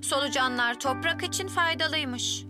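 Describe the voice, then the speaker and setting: A woman's voice: high-pitched, normal speed, over music, lightly acted. A woman voicing an eager, proud little boy of about five in a children's drama dub, earnest and childlike. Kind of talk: Turkish dub of children's live-action show.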